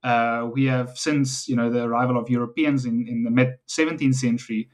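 A man's voice: medium pitch, level tone, brisk. A middle-aged man with a South African accent, speaking conversationally as an academic guest in an interview, explaining things in an anecdotal way. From South Africa, Western Cape.

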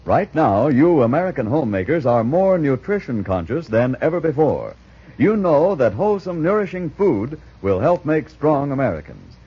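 A male announcer gives a persuasive, scripted 1940s radio pitch. His husky voice rides a little high with very lively, emphatic intonation. The old recording is dull. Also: moderate pace. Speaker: commercial announcer.

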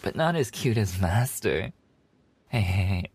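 A man's voice: on the low side, expressive, unhurried, a little mellow, slightly rough, exaggerated voice. A man voicing a playful, affectionate animal-boy maid café server in an ASMR roleplay, teasing and giggly in a cutesy way. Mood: clingy, affectionate.

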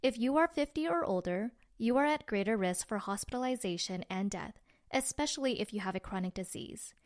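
A woman reading a public service ad in a calm, persuasive way. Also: on the high side, fairly quick. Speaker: ad announcer.

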